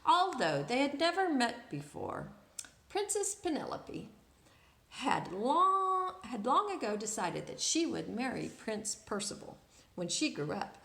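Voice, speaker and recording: A woman's voice: on the high side, sing-song, measured pace, gravelly, fairly bright tone. A woman reading a picture book aloud to children expressively, drawing out words and putting questions to her listeners. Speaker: storyteller, children's read-aloud host. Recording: echoey room.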